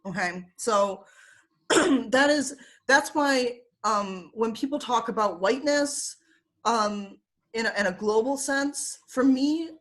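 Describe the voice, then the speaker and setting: A woman's voice: on the high side, takes it slowly, fairly bright tone. Woman, Michigan accent, young adult, casual interview guest, filler-heavy, laughs easily, video call. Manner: chatty with frequent fillers, laughs often.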